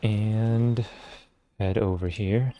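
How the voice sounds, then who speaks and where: A man's voice: fairly low pitch, fairly even intonation, extremely slow, warm tone, a little hiss or hum. A man streaming a game live, casually commenting and reading dialogue aloud.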